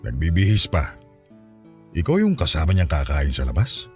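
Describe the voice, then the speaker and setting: A man's voice: extremely low pitch, huge pitch swings, normal speed, darkish tone, faint music behind, exaggerated voice. An actor playing a stern, teasing middle-aged soldier father in a radio drama, with a Filipino accent and an authoritative, lecturing manner. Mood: guarded. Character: stern, teasing soldier father sizing up his daughter's suitor. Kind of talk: Tagalog radio drama acting.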